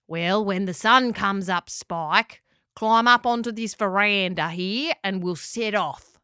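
A woman's voice: mid-range voice, takes it slowly, bright, forceful tone, clean tone, no echo, studio quality. A woman voicing a blunt, hearty male pelican who leads his flock in a children's storybook. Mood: matter-of-fact.

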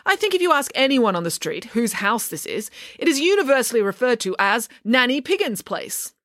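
A woman's voice: fairly high pitch, big pitch swings, brisk, a bit bright, no echo, clean studio sound, voice pushed up. A woman voicing a self-assured, haughty pig nanny with pompous, emphatic declarations. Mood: indignant.

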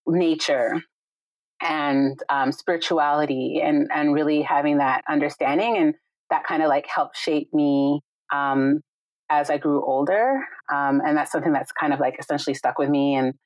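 Low-voiced woman on a call. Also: fairly even intonation, normal speed, dry recording, rough recording. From Jamaica. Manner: warm, reflective, frequent "right?" and "like". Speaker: guest, yoga and meditation teacher. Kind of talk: casual conversation on a video call. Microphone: video call.

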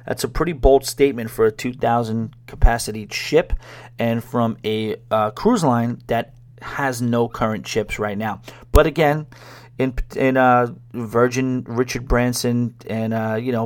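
Wry, chatty male podcast host. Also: mid-range voice. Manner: chatty, wry and sarcastic, with many restarts. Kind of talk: casual solo podcast talk about cruises.

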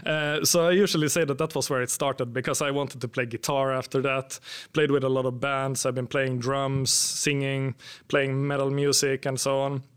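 Male interview guest, Nordic accent, smooth clear tone, mid pitch, relaxed casual delivery with hesitant phrasing. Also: moderate pace. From Finland. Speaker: interview guest, game sound designer. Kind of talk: casual chat. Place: in person at a table, at a conference.

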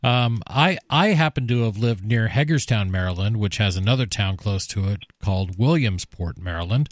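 A man's voice: lowish voice, expressive, moderate pace, no echo, studio quality. A man hosting a talk radio show, steadily recapping topics and taking calls. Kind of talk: casual talk radio chat. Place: radio studio. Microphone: studio mic, close.

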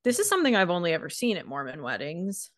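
A woman's voice: lowish voice, huge pitch swings, fast. An American woman co-hosting a podcast, giving her opinions in a wry, casual, conversational way. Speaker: podcast co-host. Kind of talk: casual chat.

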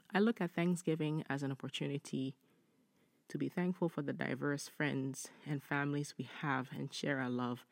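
A man's voice: fairly high pitch, creaky, no room sound. A man hosting a podcast in a casual, chatty way, moving between reading and conversational asides. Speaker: podcast host.

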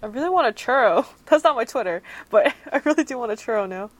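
A woman's voice: on the high side, lively intonation, fast, no room sound. A woman of twenty-one co-hosting a casual chat, giggly and teasing. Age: 20s. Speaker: podcast co-host.

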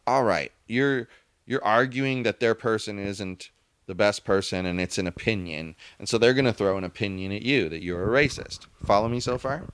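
Low-voiced man, emphatic and argumentative. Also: normal speed, clear, resonant tone, dry recording. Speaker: podcast co-host. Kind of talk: casual opinionated chat.

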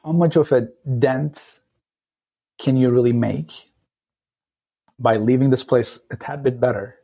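A man in his late thirties from Turkey with a Turkish accent, a podcast guest talking thoughtfully and pausing often to search for words. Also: mid-range voice, steady tone, creaky, dark tone, breathy edge. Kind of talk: casual interview talk.

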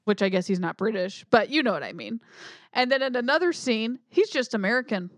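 A woman's voice: on the high side, big pitch swings, fairly quick, dry recording, clean studio sound. A woman co-hosting a podcast, bluntly skeptical in casual movie chat, often trailing off mid-thought. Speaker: podcast co-host.

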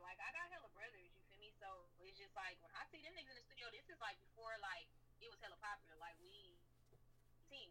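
A woman's voice: mid-range voice, sharp tone, recorded from a distance, slight background noise, low-quality recording. A young woman from the Bay Area with a slight Southern accent, talking bluntly in profane street slang as a podcast guest, a bit off-mic. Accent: Southern US tinge. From San Francisco Bay Area. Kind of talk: casual podcast conversation.

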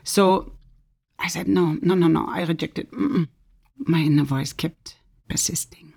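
A middle-aged woman from Germany speaks about her own life with earnest feeling. Her voice sits low and has a gravelly, frayed edge. At tender moments she sinks into soft whispers. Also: unhurried. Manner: earnest, drops to soft whispers when recounting inner moments. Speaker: self-published author. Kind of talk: personal testimony.

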